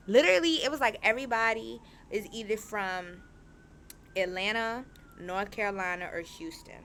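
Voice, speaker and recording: A young woman in her twenties hosting a podcast, talking casually and frankly, her voice a little raspy as it recovers. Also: mid-range voice, slight background noise. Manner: chatty, frank, animated storytelling. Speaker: podcast host. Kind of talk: casual candid monologue.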